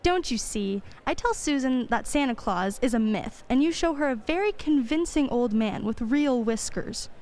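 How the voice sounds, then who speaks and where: A guarded, practical mother in a radio drama, played by a woman. Her voice is quite high, and she speaks properly and earnestly, with firmness. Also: expressive, normal speed, hardly any pauses, a little mellow. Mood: firm, earnest. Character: sensible, guarded divorced working mother. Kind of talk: radio play acting.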